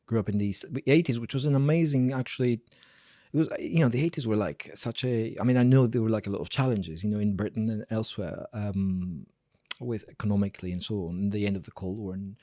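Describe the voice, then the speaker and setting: A man's voice: on the low side, fairly quick, warm tone, dry recording, studio quality. A man, a professor talking casually and digressively as an interview guest. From Greece. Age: 40s. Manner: informal, digressive, emphatic repetition.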